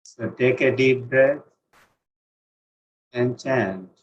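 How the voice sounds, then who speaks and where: A man with an Indian accent leads a guided meditation, speaking calmly and deliberately with pauses between phrases. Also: mid-range voice, slight background noise. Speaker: meditation teacher. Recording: dull, lo-fi online session audio.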